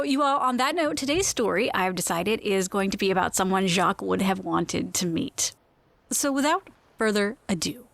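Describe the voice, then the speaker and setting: A woman's voice: medium pitch, dry recording. A woman hosting a fiction podcast, chatting playfully and reading a short bio in a gushing, theatrical way. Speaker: podcast host.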